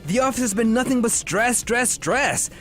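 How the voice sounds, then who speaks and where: High-pitched, overexcited office boss. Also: male voice, normal speed, a bit bright, soft background music. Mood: earnest, stressed. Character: overeager male office boss pushing forced team bonding. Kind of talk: comedic audio drama acting.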